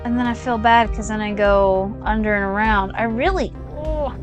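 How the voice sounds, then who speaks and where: A woman's voice: medium pitch, normal speed. Chatty young woman rambling over game music. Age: young adult. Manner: rambling, chatty, lots of "like" and trailing asides. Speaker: gaming channel host. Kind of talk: casual chat while playing.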